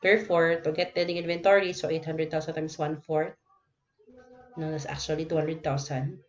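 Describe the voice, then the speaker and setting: Low-voiced Filipino woman giving a lecture. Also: level tone, fairly quick. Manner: explanatory, often checks with okay and no. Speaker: accounting lecturer. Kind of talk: recorded lecture working through problems. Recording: dull lo-fi voice recording.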